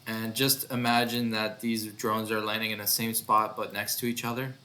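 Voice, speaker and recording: A man narrates a casual walkthrough of his own project in a lowish but bright, sharp-edged voice. He takes his time, hesitating and thinking aloud as he goes. There is a little background noise on the recording. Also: flat delivery, normal speed. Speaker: developer presenting own project.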